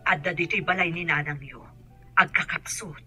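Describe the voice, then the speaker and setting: Piercing, husky, worried aunt. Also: female voice, on the low side, steady tone, normal speed, pushed and bright, faint music behind, exaggerated voice. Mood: worried, subdued. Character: worried older aunt bearing family news. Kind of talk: radio drama acting.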